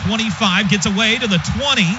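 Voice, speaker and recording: High-pitched American football play-by-play man, noisy broadcast. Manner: clipped, rapid-fire phrases; excitable, voice rising on big plays. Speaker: play-by-play announcer. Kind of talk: live American football radio commentary. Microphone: broadcast booth microphone. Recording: dull lo-fi broadcast, loud stadium noise.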